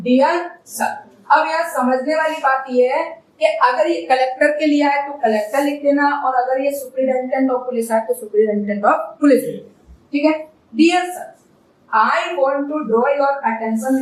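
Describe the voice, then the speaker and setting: Indian lady teacher reading out english lines and asking the class questions, sounds far off from the mic. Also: high-pitched. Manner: reads English phrases slowly, then explains in Hindi with quiz-style questions. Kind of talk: explaining an English lesson in Hindi. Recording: echoey room, voice from a distance.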